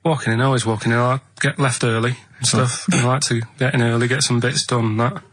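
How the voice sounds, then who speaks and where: A man telling rambling anecdotes on a radio show in a halting, earnest, colloquial way. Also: medium pitch, level tone, fairly bright tone, no room sound.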